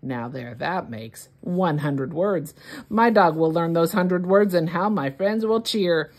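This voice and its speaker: A woman hosting story time, reading a children's picture book aloud in an expressive, deliberate way for young children. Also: fairly low pitch.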